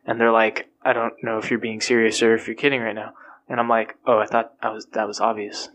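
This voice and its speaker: A man's voice: fairly low pitch, fast talker, slight background noise, rough recording. A man in casual chat among friends, talking in a loose, rambling way full of fillers. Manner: relaxed and rambling, full of fillers, laughs at own stories.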